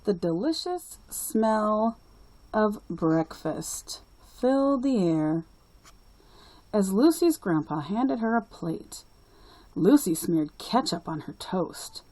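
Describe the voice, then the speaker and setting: Woman storyteller, expressive picture-book reading, deliberate phrasing, mid pitch, dry close sound. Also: no room sound, low-quality recording. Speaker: read-aloud storyteller.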